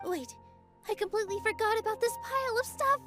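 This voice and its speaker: A woman playing a gentle, modest young female theater dancer in an anime-style video game, speaking politely and earnestly. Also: very high voice, fast. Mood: friendly, earnest. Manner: soft-hearted, polite, earnest. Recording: music behind game dialogue.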